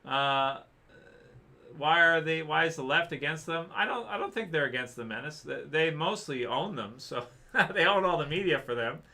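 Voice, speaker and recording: A man's voice: fairly high pitch, lively intonation, normal speed, sharp tone. A man hosting a casual livestream, rambling through viewer questions with many fillers and restarts, saying he is losing his voice. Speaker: livestream host answering chat.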